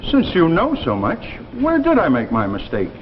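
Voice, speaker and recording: An actor playing a proud, irascible scientist in a 1950s radio drama, delivered dramatically and with authority. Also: male voice, a little high, huge pitch swings, moderate pace. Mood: scornful. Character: proud, irascible male scientist in charge of laboratory. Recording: muffled, noisy vintage radio recording.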